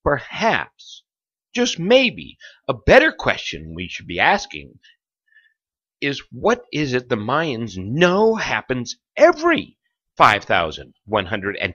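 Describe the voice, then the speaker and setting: A man narrating a lecture in a theatrical, suspenseful documentary style, pausing for effect between phrases. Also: mid-range voice, sharp tone, breathy edge, muffled recording. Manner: theatrical, with rhetorical questions and suspenseful pauses.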